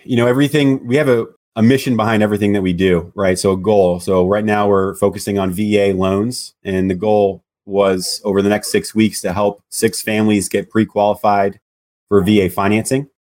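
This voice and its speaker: A man with a loud voice speaking as a podcast guest, relaxed and hesitant, full of "you know"s. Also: fairly low pitch, a little fast. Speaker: guest, loan officer. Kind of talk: casual interview chat.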